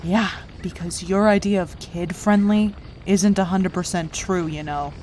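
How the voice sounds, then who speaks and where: A woman playing a wry, resigned cartoon pony with a deadpan, sarcastic delivery. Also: lowish voice, unhurried, a little hiss or hum, close to an everyday voice, low-quality recording. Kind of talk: animated fan audio drama acting.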